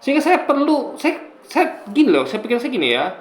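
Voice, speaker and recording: A man in his forties with a high voice speaks casually to his viewers in an echoey room. He explains his points haltingly, circles back over phrases and keeps checking that listeners follow. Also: huge pitch swings. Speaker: YouTuber. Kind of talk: casual commentary monologue to viewers.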